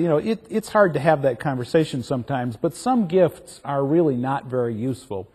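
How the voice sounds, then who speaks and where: Male panelist, mellow, husky, slightly higher voice, chatty asides. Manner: conversational, with asides like "you know what". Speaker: panel speaker. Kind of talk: answering a panel question.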